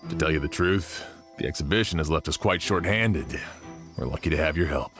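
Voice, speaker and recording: A man's voice: rumbling low, fairly quick, fairly bright tone, soft background music. A man voicing a polite, earnest officer questioning witnesses at a crime scene. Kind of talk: video game voice acting.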